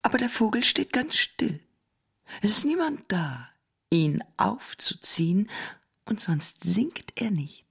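A woman narrating a fairy tale in an experimental radio play, theatrical and deliberate, sometimes dropping to a hushed near-whisper. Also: medium pitch, very animated, gravelly, full character voice. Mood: hushed, grave. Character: storyteller of a dark, dreamlike fairy tale. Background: music.

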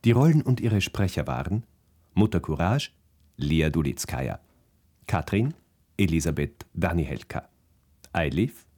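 Deep, mellow male radio announcer. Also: animated, unhurried, pauses often. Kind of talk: scripted credits announcement.

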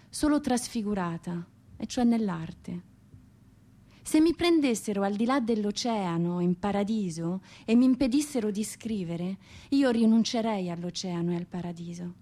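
A woman's voice: medium pitch, warm tone, close to an everyday voice. Passionate, declamatory woman poet, played by an actress. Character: proud, passionate, rebellious Russian woman poet. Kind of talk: theatrical poetic monologue.

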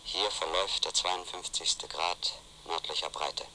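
An actor playing a brooding, insistent former magazine editor in a measured, introspective German radio play style. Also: male voice, low-pitched, steady tone, bright, forceful tone, a little hiss or hum, full character voice. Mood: brooding, observant. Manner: measured, literary, introspective narration.

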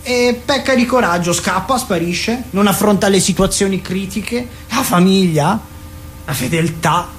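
A man's voice: high voice, measured pace, a little hiss or hum. An actor playing an old retired male teacher in a naturalistic radio drama, ironic, bitter and teasing, with theatrical shifts. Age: older.

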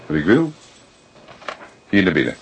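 A low-voiced middle-aged detective in a crime radio drama. He is calm, courteous and deliberate in how he talks. The recording carries clear noise and clicks. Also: exaggerated voice. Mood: calm, intent.

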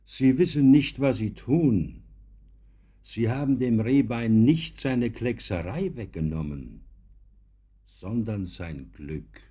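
An actor playing an opinionated old professor in a German radio drama, emphatic, ironic and given to philosophizing. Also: male voice, medium pitch, lively intonation, takes it slowly, mellow, slightly rough, heavily acted. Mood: grave, reproachful. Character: opinionated old professor defending imagination over sober reason.

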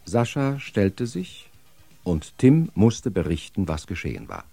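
A man's voice: low voice, huge pitch swings, unhurried, creaky, dark tone, faint music behind, exaggerated voice. A middle-aged man narrating a detective radio play for young listeners as a composed storyteller.

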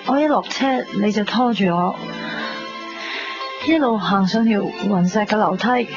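A woman acting a wounded, wary young woman in a Hong Kong Cantonese radio drama, halting and wistful. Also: mid-range voice, close to an everyday voice. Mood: hurt, wistful. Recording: dull lo-fi, loud music behind.

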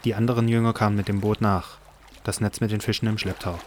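A man's voice: on the low side, flat delivery, fast talker, a little hiss or hum. A man narrating a Bible audio drama from a script.

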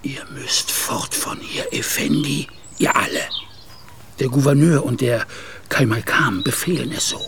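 A man playing an anxious, aggrieved Turkish gendarme in a radio drama, speaking in a halting, pleading way. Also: mid-range voice, crowd noise. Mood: furtive, fearful. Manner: furtive, pleading, halting delivery. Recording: clearly noisy background.

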